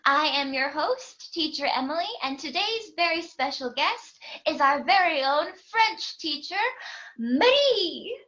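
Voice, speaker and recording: A woman's voice: high voice, lively intonation, a little slow, pushed and bright, low-quality recording. A woman hosting a casual podcast lesson over a video call, bubbly and laughing often. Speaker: host and English teacher.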